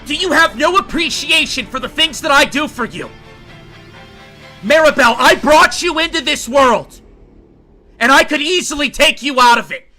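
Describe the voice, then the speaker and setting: A man's voice: very high-pitched, expressive, moderate pace, bright, forceful tone, soft background music, voice pushed up, heavily acted. A man voicing an enraged, abusive father who screams furiously in a story. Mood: raging.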